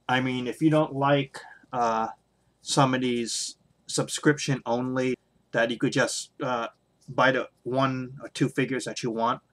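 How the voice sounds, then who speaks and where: A middle-aged man talking unscripted as a video blogger, sharing his opinions in a halting, hesitant way with frequent pauses. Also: mid-range voice. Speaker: video blogger on toy collecting.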